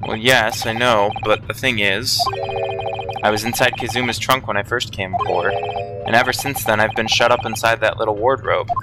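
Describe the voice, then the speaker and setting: A man's voice: lowish voice, fairly even intonation, moderate pace, over loud music, low-quality recording. A man hosting a casual let's play, reading dialogue aloud with wry commentary.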